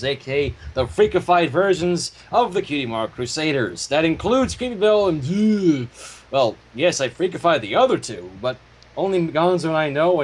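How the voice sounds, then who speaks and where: A man chatting casually on a livestream over an online voice call. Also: a little high. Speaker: animator and livestream guest.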